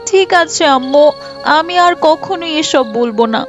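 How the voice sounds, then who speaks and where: A woman's voice: sits high, animated, measured pace. A woman voicing an innocent, earnest little girl in a cartoon, pious and insistent in a childlike way. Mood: eager. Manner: childlike, insistent, asking questions of her mother. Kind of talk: Islamic moral cartoon voice acting. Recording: muffled, with music behind.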